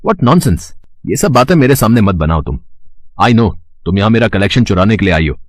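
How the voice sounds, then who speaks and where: A man's voice: on the low side, very animated, normal speed, dry recording, clean studio sound. A man acting an arrogant, short-tempered fashion designer in a dramatised moral story, imperious and mixing English into his Hindi. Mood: angry, scornful.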